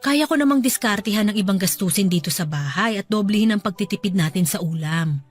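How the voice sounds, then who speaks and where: A woman playing a gentle, caring middle-aged wife and mother in a radio drama, speaking affectionately and soothingly. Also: medium pitch, expressive, brisk, close to an everyday voice. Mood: reassuring. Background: soft music bed.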